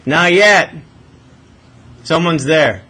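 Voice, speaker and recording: Male classroom math teacher, high and bright, informal, question-led delivery, light room noise. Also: drawn-out words. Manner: informal, frequent check questions. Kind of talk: classroom lesson, working a problem aloud. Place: classroom with students. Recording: noisy classroom recording.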